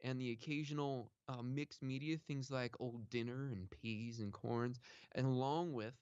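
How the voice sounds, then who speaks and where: A man playing a proud author who rambles on a radio call-in, halting and oblivious, full of fillers. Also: medium pitch, fairly even intonation, darkish tone, clean tone, dry recording, highs cut off, lightly acted. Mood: boastful. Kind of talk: improvised comedy sketch.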